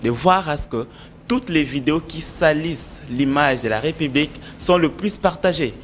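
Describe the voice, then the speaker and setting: A man's voice: a little high, animated, moderate pace, a little hiss or hum. A man from the Democratic Republic of the Congo, an author, talking earnestly in an interview with frequent hesitations. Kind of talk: conversational interview.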